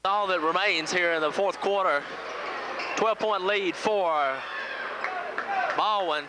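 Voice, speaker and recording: A man giving live radio basketball play-by-play in short, clipped phrases from a high school gym. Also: takes it slowly. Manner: clipped short phrases, excited on big plays. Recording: noisy 1980s local FM radio audio from VHS. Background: loud crowd, bouncing ball, gym noise.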